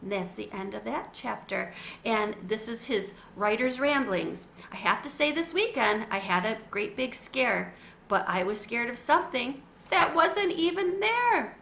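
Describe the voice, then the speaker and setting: A woman with a high, bright voice reads a children's chapter book aloud like a chatty storyteller. The sound is roomy and echoey. A faint hiss runs underneath. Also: expressive, measured pace, gravelly.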